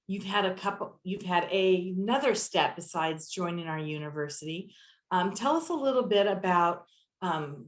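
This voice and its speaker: Woman admissions director hosting a panel, slightly low, halting delivery, roomy echo. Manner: measured, hesitant with many "um" fillers. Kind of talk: moderating an online student panel. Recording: echoey room.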